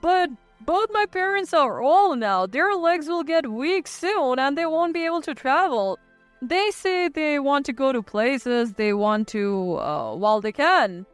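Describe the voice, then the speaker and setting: A woman's voice: high voice, lively intonation, unhurried, dry recording, soft background music. Female narrator as whiny grown mama's boy, hesitant pleading husband, audio drama, close dry sound.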